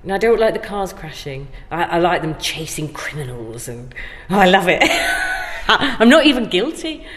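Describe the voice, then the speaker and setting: A woman's voice: lowish voice, sing-song, normal speed, a bit bright. A middle-aged woman chatting casually as an interview guest, effusive and emphatic in the way she talks. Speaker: guest actor being interviewed. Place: indoor stairwell. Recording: very echoey stairwell.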